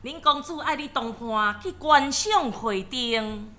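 Measured, gentle young matron. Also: female voice, sits high, animated, exaggerated voice. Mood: composed, polite. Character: gentle, reserved married sister-in-law. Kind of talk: Taiwanese opera radio drama acting.

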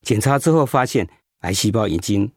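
A man acting in a radio drama as a gentle, sympathetic doctor who explains and consoles in a measured way. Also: lowish voice, animated, no room sound, studio quality. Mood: grave, apologetic. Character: gentle male doctor, calm, sympathetic hospital director.

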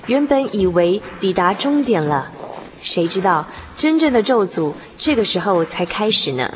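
A woman's voice: medium pitch, very animated, moderate pace, a little hiss or hum. A woman narrator giving a scripted, dramatic storytelling narration. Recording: music behind.

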